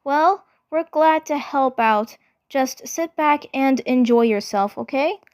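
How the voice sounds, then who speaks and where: A woman voicing a kind, caring cartoon superhero girl with a gentle, reassuring manner. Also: high-pitched, slow, pitched above the performer's own voice.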